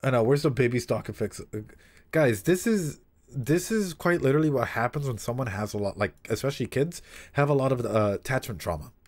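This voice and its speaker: A man streaming a casual read-aloud with commentary, talking in an animated, swearing way with frequent asides. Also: mid-range voice, a little fast.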